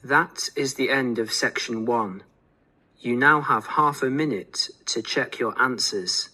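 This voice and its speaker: Guy announcing a test, formal n measured, bright voice w some rasp, instructional. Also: medium pitch, poor audio. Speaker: exam announcer.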